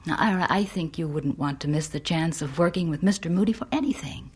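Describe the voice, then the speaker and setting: An actress playing a supportive 19th-century wife, gentle, knowing and breathy, in a radio drama. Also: female voice, low-pitched, fairly quick. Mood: tender, teasing.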